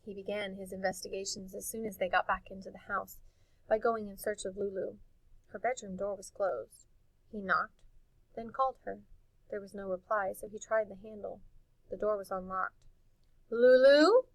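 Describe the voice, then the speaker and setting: A woman reading a storybook aloud in an expressive narrating style. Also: medium pitch, normal speed, no room sound, poor audio. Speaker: audiobook reader.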